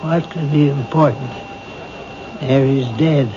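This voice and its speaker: An actor playing a grieving, forgetful widower in a 1970s radio drama, hesitant and trailing off. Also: male voice, mid-range voice, steady tone, slow, natural voice. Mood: evasive, vague. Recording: dull old radio broadcast with hiss.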